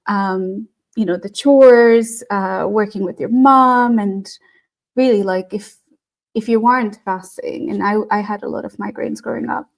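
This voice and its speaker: A woman's voice: medium pitch, darkish tone. A woman from Tanzania, a guest on an online talk, telling stories in a chatty way with many fillers over a video call. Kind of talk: casual conversation.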